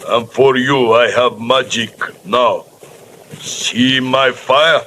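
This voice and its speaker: An actor playing a menacing voodoo priest chanting slow, incantatory threats in an old radio drama. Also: male voice, medium pitch, fairly even intonation, sharp tone. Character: menacing Haitian voodoo priest working fire magic. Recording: noisy vintage radio recording.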